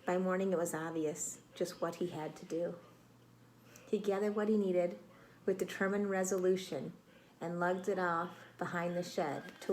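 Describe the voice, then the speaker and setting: A woman reading a picture book aloud to children like a teacher, expressive and dramatic, with exclamations and prompting questions. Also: lowish voice, slight background noise. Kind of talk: read-aloud storytelling with questions to young listeners.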